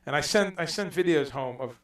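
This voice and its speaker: A man's voice: fairly high pitch, a little fast, a bit bright. A man hosting a casual livestream, rambling, reading out viewer comments and swearing now and then. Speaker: livestream host. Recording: echoey room, voice a bit far from mic.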